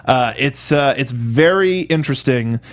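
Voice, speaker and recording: Man, medium pitch, review show co-host, informal, enthusiastic, casual chat. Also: animated, unhurried.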